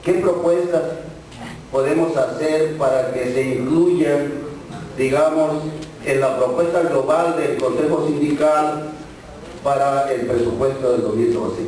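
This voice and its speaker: Man, union rep addressing a forum, earnest, fairly high voice, echoey hall, far from mic. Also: a little mellow. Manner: measured, earnest speechmaking with fillers. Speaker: union representative of farm workers. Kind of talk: speech at a public forum. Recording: echoey room, voice from far off, faint noise.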